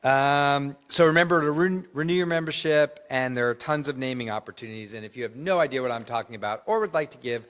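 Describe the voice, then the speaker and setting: A man's voice: mid-range voice, fast, hardly any pauses, a bit bright. A man serving as synagogue president, giving chatty, enthusiastic announcements with joking asides to the congregation. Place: large synagogue sanctuary.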